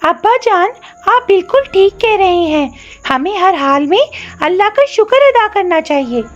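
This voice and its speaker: Woman as a very high, innocent little princess. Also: lively intonation, unhurried, muffled recording, soft background music. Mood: sweet, dutiful. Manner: childlike, concerned, respectful. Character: innocent, sweet little princess, loving daughter. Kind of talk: Urdu cartoon storybook dialogue.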